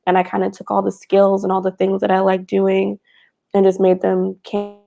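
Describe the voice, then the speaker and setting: Young woman panelist on a video call, gravelly and warm, chatty. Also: mid-range voice, monotone, moderate pace. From Houston, Texas. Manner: chatty, frequent fillers. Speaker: cannabis marketing panelist. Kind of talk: casual panel chat.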